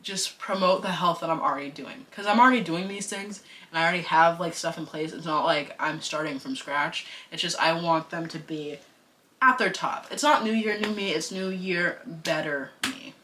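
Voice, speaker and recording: Bright-voiced chatty young woman vlogger. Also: fairly low pitch, normal speed, a little hiss or hum. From United States. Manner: chatty, animated, slangy and self-joking. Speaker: vlogger and podcaster. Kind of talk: casual vlog chat.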